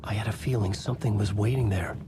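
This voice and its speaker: An actor playing a brooding, desperate male crime writer, narrating his own nightmare in deliberate first-person noir style in a game. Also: fairly low pitch, over music, close to an everyday voice.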